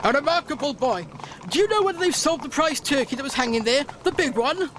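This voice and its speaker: Radio play: elderly ex-miser, giddy and effusive, voice pitched way up, full of exclamations. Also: male voice, expressive, fairly quick, a bit bright, a little hiss or hum. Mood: giddy delight. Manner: exclamatory, effusive, repeats phrases. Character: reformed old miser, giddy, generous, gleefully excited gentleman. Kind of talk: radio drama acting.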